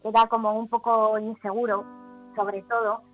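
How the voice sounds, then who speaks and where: A woman's voice: a little high, flat delivery, normal speed, narrow, tinny audio. A woman, chatting casually and explaining hesitantly as a radio contributor. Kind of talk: casual chat commentary. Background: faint background music.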